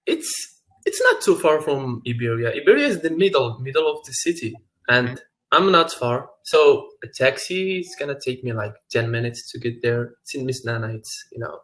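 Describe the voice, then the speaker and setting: A man's voice: mid-range voice, animated, moderate pace, fairly bright tone, low-quality recording. A young man from Morocco chatting casually on a video call, friendly and laughing often. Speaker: English learner.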